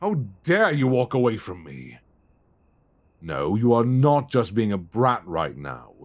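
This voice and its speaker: A man's voice: on the low side, wildly swooping pitch, measured pace, dry recording. A man with a British accent playing a stern, weary but devoted dominant boyfriend in an intimate, emotionally intense audio roleplay, close on a binaural mic. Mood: angry, indignant. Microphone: close binaural microphone.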